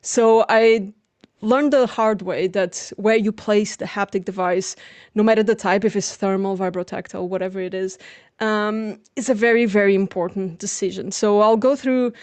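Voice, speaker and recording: A woman's voice: medium pitch, steady tone, normal speed, darkish tone, no room sound. A young adult woman with a Portuguese accent, a native Portuguese speaker, giving an informal academic lecture. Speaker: lecturer, PhD researcher.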